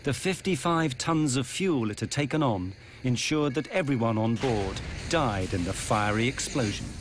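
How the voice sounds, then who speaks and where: A man narrating a documentary from a script, in a measured, dramatic manner. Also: medium pitch, lively intonation, background noise. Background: documentary music.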